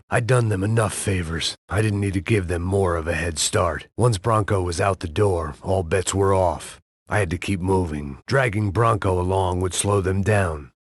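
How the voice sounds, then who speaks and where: A man voicing a world-weary, hard-drinking middle-aged ex-cop turned bodyguard in a video game, narrating in a dry, bitter deadpan. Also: sits low, breathy edge, no echo. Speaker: game character. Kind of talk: video game voice acting, noir voice-over.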